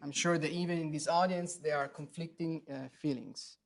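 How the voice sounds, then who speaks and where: A surgeon from Italy with an Italian accent gives an academic lecture, pausing often with fillers and restarting phrases. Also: male voice, a little high, fairly even intonation, slight background noise. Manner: hesitant, many fillers and restarts. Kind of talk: academic lecture at grand rounds. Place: lecture hall.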